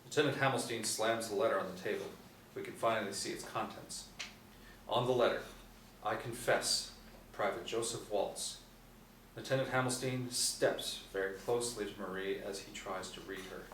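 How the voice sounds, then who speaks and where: Male narrator for script directions, lowish voice, recorded off-mic in a roomy space. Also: fairly even intonation, moderate pace, a bit bright. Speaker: narrator reading stage directions. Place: indoor room, seated reading panel. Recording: roomy, distant mic, faint noise.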